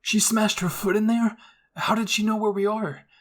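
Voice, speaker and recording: A man's voice: sits high, fairly even intonation, normal speed, a bit bright, pitched above the performer's own voice. A man voicing an earnest, anxious teenage boy soldier in an anime story. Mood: alarmed. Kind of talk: anime fan-fiction roleplay.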